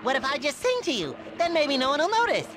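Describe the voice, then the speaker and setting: A man voicing a naive, eager, childlike sea sponge in a cartoon video game, squeaky, nasal, earnest and chirpy. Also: huge pitch swings, fast talker, full character voice. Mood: chirpy, pestering. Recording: clearly noisy game audio.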